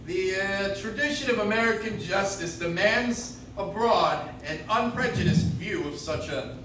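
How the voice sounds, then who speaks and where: A man's voice: high-pitched, a little slow, fairly bright tone. A man playing a flustered, grandfatherly judge in a radio play, hesitant and blustering but formal. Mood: formal, evasive. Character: flustered grandfatherly judge, weary, evasive, dignified. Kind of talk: old-time radio play acting. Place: coffee shop stage, recorded from the audience. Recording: noisy, very echoey live room, distant mic.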